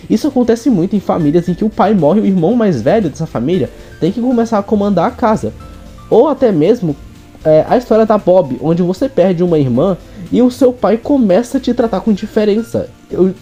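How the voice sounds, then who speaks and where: Young Brazilian man, animated and softly muffled. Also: high voice, breathy edge. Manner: earnest, informal. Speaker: vlogger and amateur writer. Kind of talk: casual chat to viewers.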